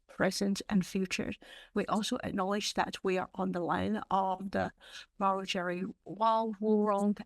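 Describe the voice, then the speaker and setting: A woman whose first language is Cantonese, presenting a library webinar in a measured way with frequent fillers. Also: mid-range voice, dry recording. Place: online webinar.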